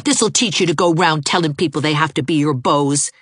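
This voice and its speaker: A woman voicing a hot-tempered little boy who shouts threats and stammers with rage. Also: low-pitched, fairly quick, husky, no room sound, clean studio sound. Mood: furious.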